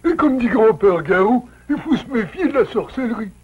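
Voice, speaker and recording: A timid, simple-minded cartoon animal. The voice is extremely high and swings about in a naive sing-song. It sounds slightly dull, over music. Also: male voice, expressive, brisk, slightly rough, full character voice. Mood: gullible, puzzled. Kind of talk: French animated series dubbing.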